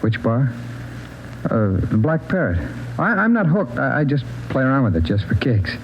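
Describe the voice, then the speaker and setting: An American actor playing a jittery, evasive addict drifter who stammers and repeats questions back, in a 1940s radio crime drama. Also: male voice, mid-range voice, expressive, normal speed, close to an everyday voice. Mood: evasive, nervous. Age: 30s. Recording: muffled, noisy old radio recording.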